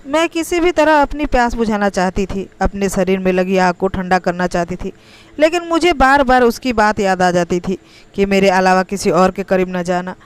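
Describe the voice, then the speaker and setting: A woman's voice: mid-range voice, moderate pace, clear, resonant tone. A woman reading a scripted, first-person emotional story as its narrator.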